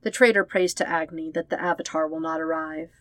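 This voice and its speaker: A woman narrating dramatic fan fiction as an audiobook, with expressive, measured storytelling. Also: deep voice, no room sound.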